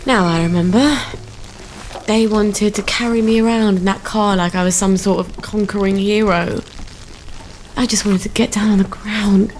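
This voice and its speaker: A woman's voice: mid-range voice, fairly even intonation, moderate pace, a little mellow, slight background noise, natural voice. Woman voicing a wry young Englishwoman. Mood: wistful. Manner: dry, wry asides. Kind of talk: video game dialogue. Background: game music.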